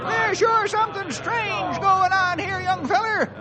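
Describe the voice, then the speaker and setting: Very high, slow-talking excitable old-timer. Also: male voice, a loud crowd. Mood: puzzled. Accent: American. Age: older. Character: excitable folksy old-timer ranger sidekick. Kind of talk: radio adventure drama acting.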